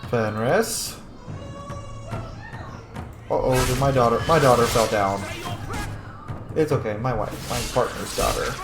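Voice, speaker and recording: A man's voice: medium pitch, a little slow, pauses often, echoey room. A man giving casual, sweary let's play commentary as a gaming host, informal and full of wisecracks. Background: game music.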